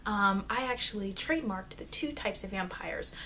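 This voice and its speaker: A woman playing a chatty, informal immortal vampire teacher in an ASMR roleplay, rambling hesitantly and confidingly, with soft dips into whispering. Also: medium pitch, moderate pace. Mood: confiding, defensive. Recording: noisy amateur microphone.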